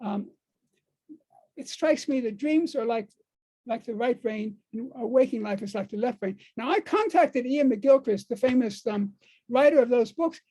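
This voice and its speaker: An older American man giving an informal talk to a group, speaking haltingly and repeating words and phrases for emphasis. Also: very high-pitched, dark tone, poor audio. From United States. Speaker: speaker on dream work.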